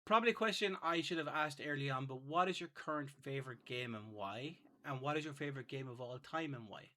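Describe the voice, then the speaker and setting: An Irish man hosts a casual podcast conversation in a bright, crisp-edged voice of ordinary pitch. He often stumbles and repeats a word before carrying on with his point. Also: a little fast. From Ireland. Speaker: podcast host. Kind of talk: casual interview chat.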